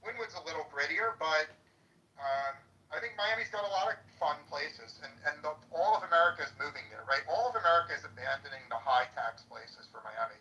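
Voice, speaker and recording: Bright, higher-voiced man, distant and roomy. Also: animated, a little fast, dull audio. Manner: polite, hedging, repeats words. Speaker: interviewer. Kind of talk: conversational interview questions.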